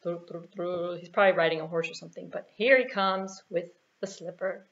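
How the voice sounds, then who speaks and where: A woman's voice: fairly low pitch, steady tone, normal speed, a bit bright. A woman telling a children's story with puppets, recorded indoors with dull, muffled sound. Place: small plain room, indoors.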